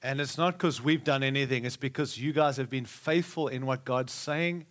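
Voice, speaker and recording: A man's voice: mid-range voice, normal speed, fairly bright tone. A middle-aged man, preaching to a live church with repeated, rousing phrases and jokes for the congregation. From Australia. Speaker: guest preacher.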